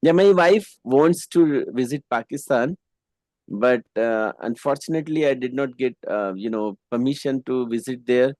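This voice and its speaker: A man speaking in a relaxed, casual way, pausing often and filling gaps with "you know". His voice is mellow and dark. The recording is dry, with no room sound. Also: mid-range voice, no echo. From India. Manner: relaxed, hesitant, frequent "you know" fillers. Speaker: English conversation partner. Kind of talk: casual conversation practice.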